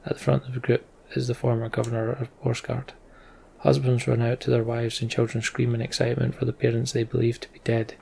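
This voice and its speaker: A man's voice: mid-range voice, completely flat delivery, brisk, creaky, a little mellow, slight background noise. A man giving casual game commentary and reading story text aloud as a let's play host.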